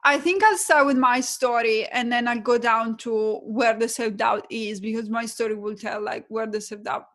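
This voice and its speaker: A woman's voice: a little high, fairly even intonation, normal speed, few pauses, fairly bright tone. A young adult woman from Italy with an Italian accent, a guest coach telling her story reflectively in a casual podcast interview. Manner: reflective, storytelling, uses fillers like "um" and "like".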